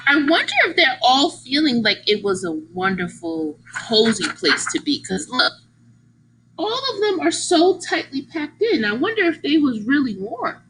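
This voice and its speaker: Bright, animated woman reading aloud to children. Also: sits high, unhurried, a little hiss or hum, poor audio. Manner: animated, exaggerated emphasis, engaging listeners with questions. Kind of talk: expressive picture-book read-aloud with questions to young listeners.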